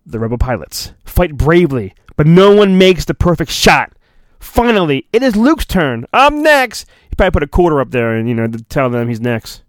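Theatrical man with dramatically swooping pitch. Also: normal speed, no room sound. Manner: theatrical, jokey, breaks into deadpan asides. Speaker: podcast host. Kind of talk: mock-dramatic storybook reading with ad-libbed asides.

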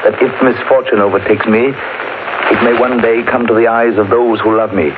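A man's voice: medium pitch, steady tone, normal speed, close to an everyday voice. A man playing an earnest young English lawyer's clerk, narrating his journal in formal, dramatic 1930s radio drama style. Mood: measured, earnest. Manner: formal, dramatic, increasingly urgent. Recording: noisy, tinny 1930s radio broadcast.

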